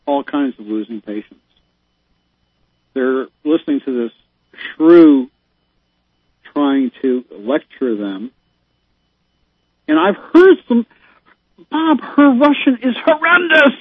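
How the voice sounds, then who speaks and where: Exasperated caller on a tinny phone line. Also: male voice, fairly high pitch, very animated, takes it slowly, long pauses, breathy edge, no room sound. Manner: exasperated, emphatic, given to sighs. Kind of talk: casual phone chat.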